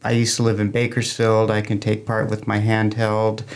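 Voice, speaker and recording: American male interview guest, folksy explainer, flat delivery with some vocal fry. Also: lowish voice, moderate pace. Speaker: amateur radio club member, interview guest. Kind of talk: informal explanatory interview talk.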